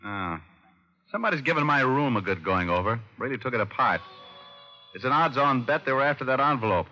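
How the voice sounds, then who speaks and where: An actor playing a wry, tough American private detective in an old radio drama, speaking in a laconic, offhand way. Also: male voice, mid-range voice, animated, fairly quick, bright, forceful tone. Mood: brisk, curt. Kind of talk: 1940s-50s radio drama acting and first-person narration. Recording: dull old radio recording with music.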